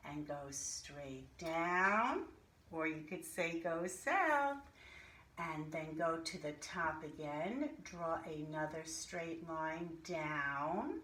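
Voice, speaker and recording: Very slow, bright-voiced woman teaching young children. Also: lowish voice. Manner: deliberate, sing-song, addressing pupils directly with pauses. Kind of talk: interactive alphabet lesson for young children. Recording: echoey room with light background noise.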